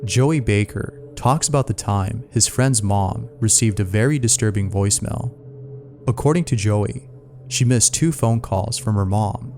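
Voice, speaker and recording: A man's voice: lowish voice, measured pace, a little mellow, slight background noise. A man narrating scary mystery stories from a script, as the narrator of a video. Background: music.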